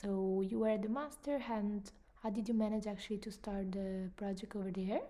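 A woman hosting a podcast who reads a scripted introduction and then asks her guest questions in an earnest, measured way. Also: mid-range voice, steady tone, warm tone. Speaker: podcast host.

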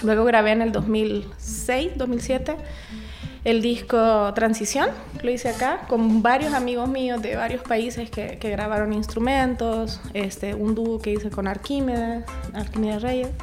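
A talkative Salvadoran woman in her forties, a singer-songwriter guest recounting things in a relaxed, laughing way. Her voice sits a little high for a woman, and music plays under the talk. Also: unhurried. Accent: Salvadoran Spanish. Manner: chatty, laughs often, restarts words. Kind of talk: casual interview chat.

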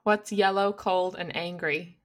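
A woman's voice: lowish voice, steady tone, unhurried. A young adult woman co-hosting a casual family chat, playful and teasing, laughing often. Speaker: co-host, adult daughter.

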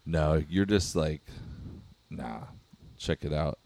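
Male comedy podcast co-host, low deep voice, deadpan and calm, studio mic. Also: takes it slowly, creaky. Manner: deadpan, insists calmly. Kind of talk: joking casual banter. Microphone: studio microphone with headphones.

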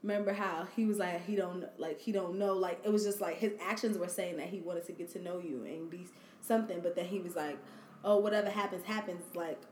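A woman with a somewhat low voice co-hosts a casual chat, trading quick agreements and laughing a lot. The sound is roomy and echoey. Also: fairly even intonation, a little mellow, slight background noise. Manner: laughs often, quick back-and-forth agreement. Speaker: podcast co-host. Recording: echoey room.

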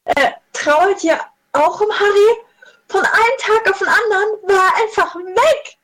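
A woman acts out a distraught young witness in an amateur radio play. Her voice is extremely high. She is melodramatic, repeating her words in distress. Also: measured pace, a bit bright, rough recording. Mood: wailing grief.

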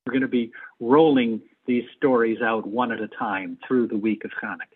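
A man's voice: medium pitch, fairly even intonation, normal speed, husky. A man talking as a guest in a conversational interview, on thin, phone-like call audio. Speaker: interview guest, theater adapter.

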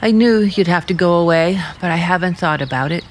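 A woman's voice: fairly low pitch, fairly quick, slight background noise, lightly acted. An actress playing an earnest, troubled young American small-town woman with restrained resolve, in vintage radio drama style. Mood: wistful.

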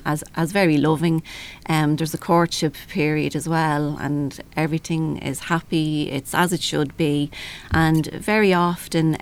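A woman with an Irish accent speaking haltingly as a helpline manager in a panel discussion. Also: low-pitched.

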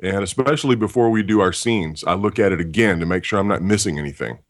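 Man, deep low voice, voice actor, deadpan jokes, dry close sound. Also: fast, no echo. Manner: dry joking, self-deprecating. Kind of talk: interview answers.

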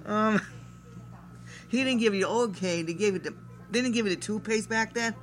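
A woman's voice: mid-range voice, moderate pace, soft background music. A woman chatting casually, giving short answers and rambling through old memories. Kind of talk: casual group chat. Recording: noisy crowded room.

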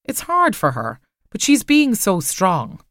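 A woman's voice: mid-range voice, huge pitch swings, slow, a bit bright, dry recording, studio quality, full character voice. A woman reading a storybook, voicing a devoted, earnest man who speaks protectively and kindly. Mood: earnest, caring. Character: devoted, happy, reassuring man, protective of partner.

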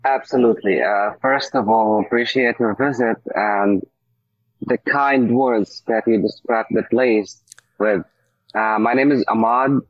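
A man from Syria, a native Arabic speaker, telling his story casually as an interview guest, halting as he searches for words. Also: fairly low pitch, steady tone, dry recording. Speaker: coffee shop owner, interview guest. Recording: dull, muffled lo-fi audio.

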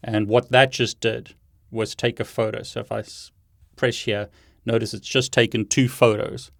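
Low, dry-sounding man demonstrating tech. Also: flat delivery, moderate pace, gravelly, no room sound. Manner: explanatory, step by step. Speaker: tech video host and instructor. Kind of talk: hands-on demonstration talk.

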